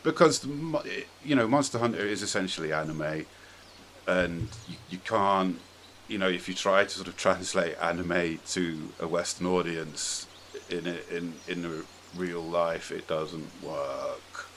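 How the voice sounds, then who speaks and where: Male podcast host, very low voice, opinionated and conversational, slight background noise. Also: unhurried, fairly bright tone. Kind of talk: casual opinionated discussion.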